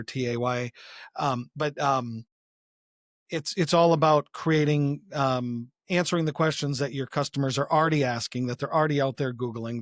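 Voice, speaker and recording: A man answering as a podcast guest, telling anecdotes casually and haltingly as he thinks aloud. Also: mid-range voice, no room sound, studio quality. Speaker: podcast guest, company chief executive.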